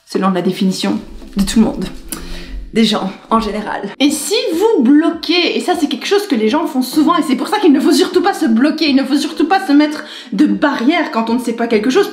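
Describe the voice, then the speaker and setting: A woman in her twenties vlogging to camera in a chatty, rambling, animated way, gesturing as she talks. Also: high voice. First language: French. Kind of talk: casual chat to camera. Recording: echoey room with faint music.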